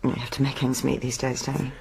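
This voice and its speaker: An actress playing the anxious, hard-working mother of a missing girl in a radio drama, with a husky voice. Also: very deep voice, level tone, fast, noisy background.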